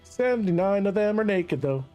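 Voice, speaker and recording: A man's voice: sits high, expressive, moderate pace, mellow, soft background music. A man from Central Virginia chatting in a rambling, joking way while streaming a colony game. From Central Virginia, USA. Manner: rambling, chatty, laughs at his own jokes. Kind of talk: casual gameplay commentary.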